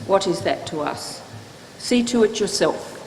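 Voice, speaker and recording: A woman's voice: lowish voice, expressive, a little slow, vocal fry, a little mellow, slight background noise. A woman playing defiant accusers and crowd voices in a dramatic scripture reading, emphatic and declamatory. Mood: dismissive. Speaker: reader in a church dramatic reading. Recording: echoing church hall, long reverb.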